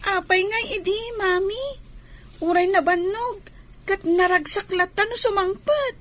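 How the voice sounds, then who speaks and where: Casting: woman to play a worried little kid, piping high and bright, Ilocano Filipino accent, radio play. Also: unhurried. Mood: questioning. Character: young child anxious about absent father, devoted to mother. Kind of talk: Filipino radio comedy-drama acting.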